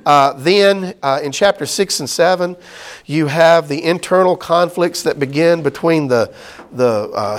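Middle-aged male Bible teacher with a somewhat high voice and an easygoing, rambling lecture delivery. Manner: conversational, many fillers and restarts. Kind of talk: casual Bible study lecture.

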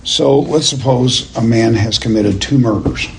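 Halting, emphatic male Bible teacher. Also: medium pitch, slight background noise, rough recording. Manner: deliberate, halting, emphatic, asking questions of listeners. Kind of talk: expository lecture to a class, verse by verse.

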